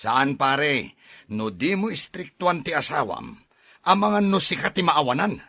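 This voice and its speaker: Man acting a gruff jealous husband, gravelly voice. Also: a little high, wildly swooping pitch, a little slow, pushed and bright, no echo, studio quality, heavily acted. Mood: uneasy, suspicious. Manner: accusing, gruff. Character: suspicious, jealous husband and stern father. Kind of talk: radio drama acting.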